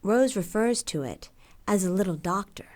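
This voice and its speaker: A woman's voice: mid-range voice, expressive, moderate pace, darkish tone, lightly acted. A woman playing a strong-minded, apologetic, earnest mother in an audio drama. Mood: worried.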